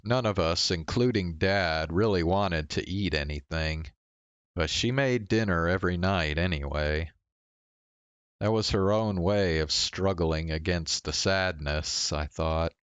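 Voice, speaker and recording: Deep-voiced man narrating a story. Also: measured pace, a bit bright, clean tone, no echo, lo-fi sound. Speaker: streamer reading a visual novel aloud. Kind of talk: dramatic first-person story narration. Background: faint game music.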